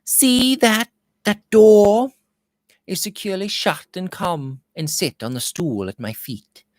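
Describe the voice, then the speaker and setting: A man's voice: sits high, slow, no room sound, voice pushed up. A man voicing a vain, frivolous young lady of a Victorian manor in a gushing, haughty manner. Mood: coaxing, commanding. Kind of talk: Victorian novel read aloud.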